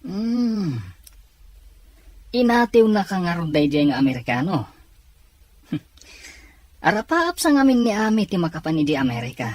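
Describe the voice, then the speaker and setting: An actor playing a blunt, consoling male drinking buddy in a drama, with a husky voice. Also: mid-range voice, big pitch swings, measured pace, pauses often, no room sound. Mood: teasing sympathy. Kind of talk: Ilocano drama acting.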